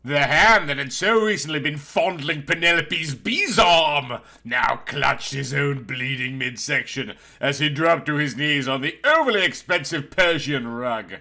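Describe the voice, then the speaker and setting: A man's voice: a little high, unhurried, hardly any pauses, sharp tone. A man reading a story aloud as narrator, in a dramatic scripted storytelling style. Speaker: narrator reading a novel chapter.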